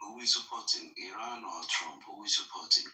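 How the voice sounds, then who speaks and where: A man's voice: fairly low pitch, a little fast. A man in a casual group chat who pushes with repeated questions. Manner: pushy, repeats questions, lively. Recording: roomy, distant from mic.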